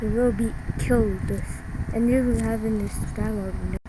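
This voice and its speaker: A woman narrating a made-up emergency alert with a slightly high voice. She stops and starts, repeats herself and restarts sentences as she goes. Clear background noise with passing vehicles runs under her. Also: steady tone. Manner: halting, repetitive, restarts mid-sentence. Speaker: video creator.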